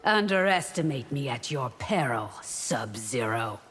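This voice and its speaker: A woman playing a proud, devout and fierce bodyguard warrior in a video game, speaking in a formal, defiant, measured way. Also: very deep voice, lively intonation. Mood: defiant, stern. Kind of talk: video game character acting. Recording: long reverb, faint noise behind.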